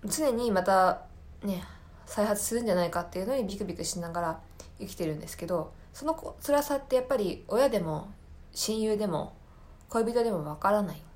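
A woman's voice: medium pitch, animated, fairly quick, darkish tone. Reflective woman podcast host, searching for words. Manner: hesitant, searching for words, earnest. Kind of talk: reflective solo talk, personal film commentary.

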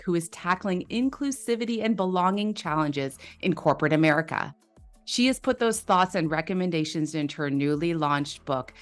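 A woman hosting a podcast, reading a scripted introduction and then asking interview questions in an upbeat way. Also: on the low side. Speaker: podcast host.

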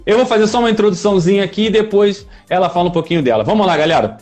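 A man's voice: high voice, racing, a little mellow, soft background music. A man hosting a podcast, introducing his guest with enthusiastic, effusive praise. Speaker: podcast host.

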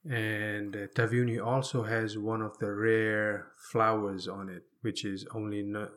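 A man from Fiji with a fairly low voice shares personal stories as an interview guest. He talks conversationally, often pausing and drawing out words as he gathers his thoughts. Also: flat delivery, a bit bright. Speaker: interview guest telling his life story.

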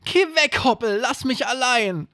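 Man acting a high-pitched defiant little boy. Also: lively intonation, a little slow, sharp tone, no room sound, clean studio sound, full character voice. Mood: upset, defiant. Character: scared, stubborn seven-year-old boy insisting he is brave.

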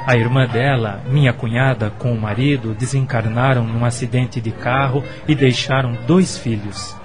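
An actor playing a gentle, lovestruck middle-aged widower in a radio soap, speaking earnestly and courteously. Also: male voice, medium pitch, steady tone, normal speed, music in the background, close to an everyday voice. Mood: reflective, wistful. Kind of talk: Brazilian radio soap acting.

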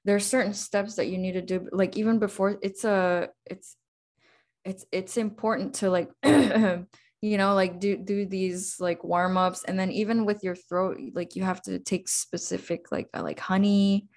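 A young woman artist guesting on a podcast, chatty and animated, peppering her advice with fillers. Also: mid-range voice, measured pace. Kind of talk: casual interview chat.

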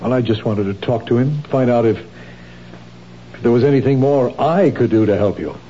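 An actor playing a calm, concerned husband who probes gently, in a 1970s radio drama. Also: male voice, medium pitch, normal speed, highs cut off, slight background noise, natural voice. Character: worried, patient husband, adult man.

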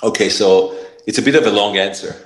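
A man's voice: lowish voice, fairly quick. A man from Israel with an Israeli accent, a podcast guest telling his story in a rambling way, full of fillers and restarts. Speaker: podcast guest, startup founder. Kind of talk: casual interview talk.

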